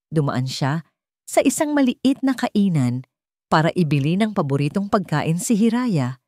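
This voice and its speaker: Woman narrating a dramatic romance story, mellow lowish voice, really dry close sound. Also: dry recording.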